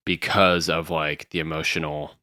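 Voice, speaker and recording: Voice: extremely low pitch, flat delivery, moderate pace, pushed and bright, no room sound, studio quality. A podcast co-host bantering playfully and joking around, laughing often. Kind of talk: casual chat.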